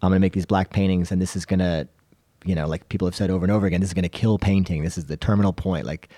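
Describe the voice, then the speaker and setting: A man's voice: low voice, fairly even intonation, rapid-fire, warm tone, no echo, clean studio sound. A man hosting a casual panel discussion, talking in a conversational, explanatory way. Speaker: podcast host.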